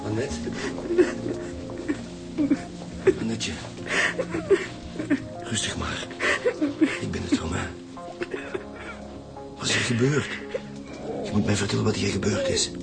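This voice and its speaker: An actor playing a gruff, commanding chief detective in a radio drama, with a Flemish accent and a curt, authoritative way of talking. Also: male voice, fairly low pitch, measured pace, long pauses, loud music behind the voice. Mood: hushed, soothing.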